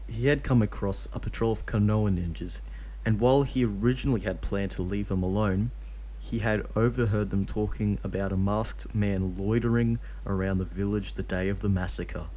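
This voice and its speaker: A man reads a story aloud in a steady, plain voice. He pitches it low, with a soft, mellow tone. Now and then he slips in a dry joking aside. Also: rough recording. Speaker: reader of fan fiction.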